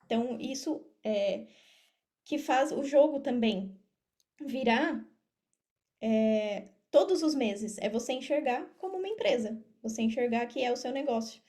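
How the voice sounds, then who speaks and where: High-pitched Brazilian woman. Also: a little slow, mellow. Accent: Brazilian Portuguese. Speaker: podcast guest, affiliate marketer and mentor. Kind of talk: casual interview talk.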